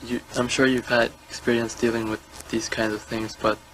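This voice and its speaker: A man in his twenties from Guyana, a suspect answering questions in a hesitant, halting way and stumbling over repeated words. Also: medium pitch, little pitch movement, fairly bright tone, rough recording. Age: 20s. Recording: interview room audio with music overlaid.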